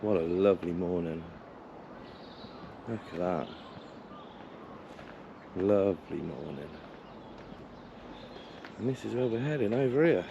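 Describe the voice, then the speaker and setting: A man making casual remarks outdoors over clearly audible background noise. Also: deep voice, slow, pauses often, dull audio. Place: outdoors, on the move by boats. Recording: noisy outdoor recording.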